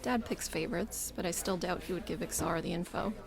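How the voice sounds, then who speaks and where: A woman's voice: on the low side, quick pace, close to an everyday voice. Woman acting dry, exasperated space crew sister. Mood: irritated, skeptical. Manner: dry, sarcastic. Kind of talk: audio drama acting. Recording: faint music under the voice.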